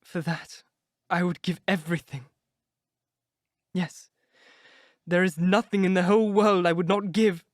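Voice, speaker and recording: A man reading aloud, voicing a vain, beautiful young man who fears growing old, in an impassioned, high, cracking delivery. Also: fairly even intonation, measured pace, pauses often, no echo, clean studio sound. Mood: wistful. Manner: impassioned, rising to anguished cries. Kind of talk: reading of a classic novel aloud.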